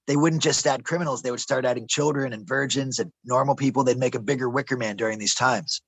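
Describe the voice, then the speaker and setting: Gravelly man telling wild stories. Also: mid-range voice, fairly even intonation, fast talker, no echo. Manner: conspiratorial, says bro and man, trips over words. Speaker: interviewee, traveler telling his stories. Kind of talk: casual rambling storytelling. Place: outdoors in a desert camp.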